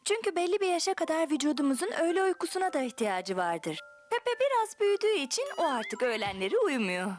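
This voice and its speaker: A woman playing a gentle, patient mother in a children's cartoon, nurturing and expressive as she explains things. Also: high voice, fast, hardly any pauses, soft background music.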